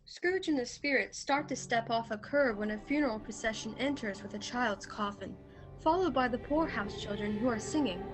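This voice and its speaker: A woman's voice: on the high side, moderate pace, poor audio. A woman reading scripted stage directions as the narrator of a radio play. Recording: faint music, home video-call recording.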